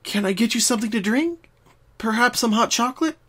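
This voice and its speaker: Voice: medium pitch, expressive, fairly quick, fairly bright tone, slightly rough, voice pushed up. A performer voicing a caring, bookish young female unicorn pony who speaks earnestly and precisely in a cartoon fan reading. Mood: concerned. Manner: concerned, precise, articulate.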